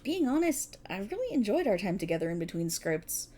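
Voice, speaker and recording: A woman's voice: medium pitch, sing-song, fairly quick, dark tone. A woman playing a prickly, exasperated police officer in a scripted ASMR roleplay, talking in a wry, bossy, explanatory way to an unheard partner. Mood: irritated, relieved. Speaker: voice actor in audio roleplay. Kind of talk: scripted ASMR roleplay, one-sided dialogue.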